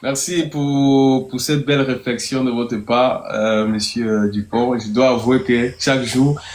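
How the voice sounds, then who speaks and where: A man's voice: medium pitch, fairly even intonation, unhurried, a little mellow, reverberant. A man hosting an online talk show, polite and hesitant, searching for his words. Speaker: host.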